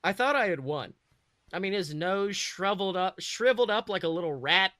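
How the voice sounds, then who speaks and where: A man's voice: sits high, expressive, normal speed, bright, forceful tone, dry recording, studio quality. A man voicing an eager, proud eleven-year-old wizard boy who tells his own story in a chatty, self-correcting way. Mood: bitter. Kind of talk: first-person dramatic storytelling.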